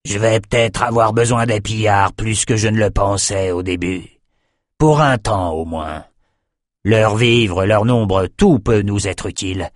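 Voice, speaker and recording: A man's voice: fairly low pitch, a little slow, no room sound, clean studio sound, natural voice. A man reading a stern, impatient general who gives curt commands. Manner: clipped, commanding.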